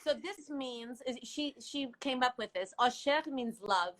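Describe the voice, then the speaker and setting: Bright-voiced chatty woman, raised in Iran. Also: sits high, measured pace. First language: Farsi. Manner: giggly, chatty, restarts words often. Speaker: guest. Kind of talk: casual live-stream chat.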